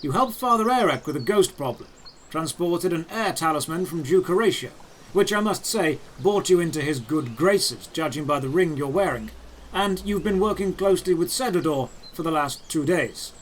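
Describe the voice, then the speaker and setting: An old knight spymaster, acted out by a man, speaks in a high voice with lively pitch movement. He is measured, emotionless and all-knowing, a fantasy audio drama figure with birdsong behind him. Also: slight background noise, natural voice, poor audio. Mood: matter-of-fact. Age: older. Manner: measured, emotionless, matter-of-fact.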